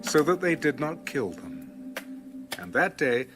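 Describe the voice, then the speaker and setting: A man's voice: fairly high pitch, big pitch swings, unhurried, over music. A man narrating a dramatized scripture reading as a scripted storyteller.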